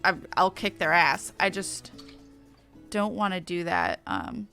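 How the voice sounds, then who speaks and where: A woman's voice: on the low side, sing-song, slow pace, gravelly, bright, forceful tone, smooth, soft background music. A woman game streamer giving casual, playful commentary as she plays.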